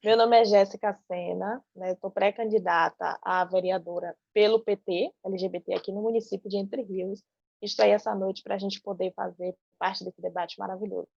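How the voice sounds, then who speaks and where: Creaky-voiced Bahian woman, dull roomy sound. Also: mid-range voice, steady tone, moderate pace, darkish tone. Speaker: guest, political pre-candidate. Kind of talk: speech to a live stream audience. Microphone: video call. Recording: dull, roomy video call audio.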